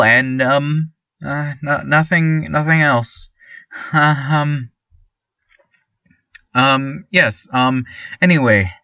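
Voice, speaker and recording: A man playing a chipper, nervously obedient small-town radio host, stammering politely through a deadpan surreal monologue. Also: fairly high pitch, no room sound, recorded from a distance, lo-fi sound. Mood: nervous, placating. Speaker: local radio station host.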